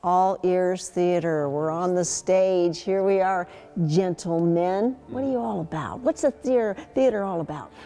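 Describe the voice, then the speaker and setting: A woman's voice: fairly low pitch, a little slow, warm tone. A woman hosting a feature interview, putting questions to her guests. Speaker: interviewer, host.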